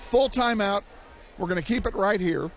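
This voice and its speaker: A man calling a high school basketball game play-by-play, hoarse and losing his voice, shouting the big plays and chatting between them. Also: moderate pace. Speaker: play-by-play announcer. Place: high school gym broadcast. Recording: loud gym crowd and noise behind.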